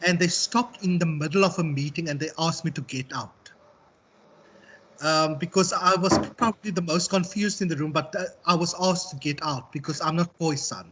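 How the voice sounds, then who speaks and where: A South African man speaking as a university tutor in an informal online class, reflective and halting as he circles back to rephrase. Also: fairly high pitch, noisy background. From Western Cape, South Africa. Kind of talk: informal online lecture and discussion.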